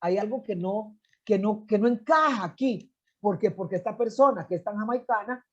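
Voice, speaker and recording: A woman's voice: mid-range voice, moderate pace, lo-fi sound. A middle-aged Costa Rican woman, an anthropologist and poet, telling family history in an interview, with many restarts and "este" fillers. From Costa Rica. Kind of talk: conversational storytelling.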